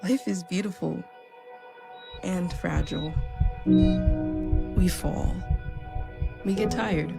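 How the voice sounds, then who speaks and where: A narrator reads a solemn radio ad in measured, short sentences. The delivery is slow, with loud music underneath. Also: medium pitch, vocal fry. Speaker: advertising narrator.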